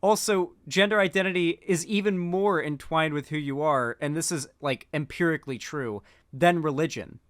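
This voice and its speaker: A man's voice: a little high, normal speed, a bit bright, clean tone, dry recording, clean studio sound. A man giving casual commentary on clips, rambling with frequent fillers and restarts. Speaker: political commentator.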